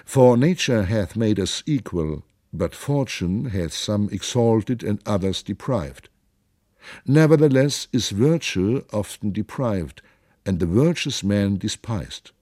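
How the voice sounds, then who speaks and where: A man voicing a ragged, clever wandering stranger in an audiobook reading, talking slowly and drawing out strange foreign phrases. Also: on the low side, animated, mellow, dry recording, studio quality. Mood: pleading, grandiloquent. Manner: slow, drawn-out, babbling in foreign tongues.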